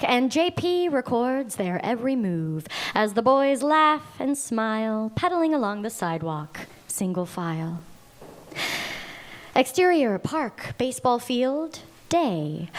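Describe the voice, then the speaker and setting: A woman reading the stage directions aloud at a live table read, slow and deliberate, with an American accent. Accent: Mid-Atlantic American. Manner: slow, deliberate reading with lively pitch swings. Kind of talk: table read narration of a script.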